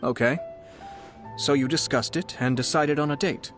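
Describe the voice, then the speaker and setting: A man's voice: mid-range voice, moderate pace, over music, close to an everyday voice. An actor playing a composed, tactful nobleman in a video game, speaking formally and eloquently. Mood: frank, calm. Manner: formal, eloquent, politely probing. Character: courteous, composed noble young lord, tactful and measured.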